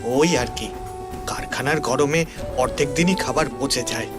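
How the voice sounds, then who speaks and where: An actor playing a nervous, evasive factory worker in a cartoon mystery, speaking hesitantly. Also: male voice, mid-range voice, moderate pace, music in the background, lightly acted. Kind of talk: animated detective story acting.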